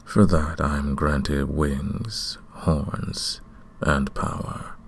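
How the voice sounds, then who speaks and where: A man playing a courtly, commanding, lovestruck vampire king in a romantic ASMR roleplay, speaking in a formal, deliberate way. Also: rumbling low, steady tone, creaky, breathy edge, exaggerated voice. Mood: solemn, regal.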